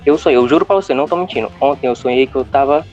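A man's voice: medium pitch, racing, a little mellow, muffled recording, soft background music. A man from Fortaleza with a Fortaleza accent, calling in and chatting in a rambling way with lots of restarts. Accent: Fortaleza Brazilian Portuguese. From Fortaleza, Brazil. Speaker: caller. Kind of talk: casual chat.

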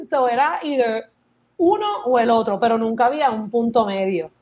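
A woman's voice: a little high, moderate pace, muffled recording. A woman in her thirties with a Puerto Rican accent, telling stories in casual chat and mixing English words into her speech. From Puerto Rico. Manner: lively storytelling, mixing English words into Spanish. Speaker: podcast guest sharing her experience.

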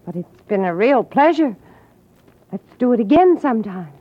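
A woman's voice: fairly high pitch, sing-song, normal speed, heavy background noise. A woman playing a coy, poised young nightclub girl in an old-time radio drama. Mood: coy innocence. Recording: dull vintage radio recording with music.

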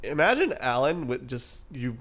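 A young man on a casual podcast, joking with his friends and cursing freely. His voice sits at a middle pitch but swings widely as he jokes around, and he laughs often. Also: sing-song, normal speed, a bit bright. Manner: teasing, swears often, laughs a lot. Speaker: podcast co-host. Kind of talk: casual banter among friends.

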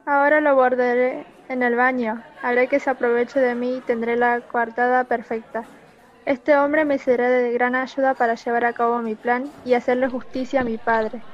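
Radio play lead: scheming young woman, female actor, high pitch, slightly dull recording. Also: flat delivery, normal speed, close to an everyday voice. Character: scheming young woman secretly bent on avenging her father. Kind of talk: amateur radio play acting.

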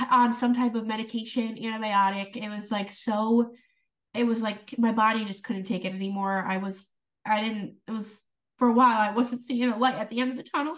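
A woman's voice: on the high side, fairly bright tone, recorded from a distance. A young adult woman co-hosting a podcast in casual chat, giggly and full of "like"s. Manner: giggly, filler-heavy, with many "like"s. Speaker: podcast co-host.

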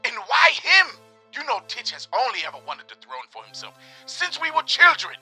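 An actor playing a heartbroken, jealous young suitor in a comedic audio drama, pleading in overwrought declarations. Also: male voice, very high voice, huge pitch swings, normal speed, pushed and bright, slightly rough, soft background music, heavily acted.

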